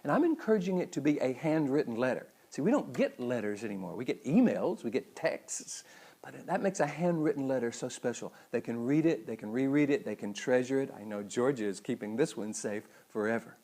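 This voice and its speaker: Middle-aged man, mellow and very lively intonation. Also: medium pitch, brisk. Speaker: psychologist and speaker hosting a weekly show. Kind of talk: motivational talk to the audience.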